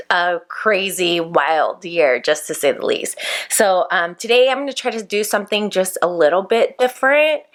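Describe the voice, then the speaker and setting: Woman, 30s, vlogger, gravelly low tone, chatty and reflective. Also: a bit bright. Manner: chatty, reflective, full of "like" fillers. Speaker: vlogger and makeup business owner. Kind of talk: casual chat to camera while doing makeup.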